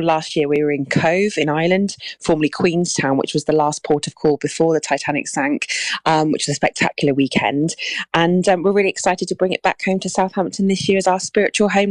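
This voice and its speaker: A woman's voice: sits low, dry recording. A woman speaking as a society representative on a radio interview, upbeat and enthusiastic with some fillers.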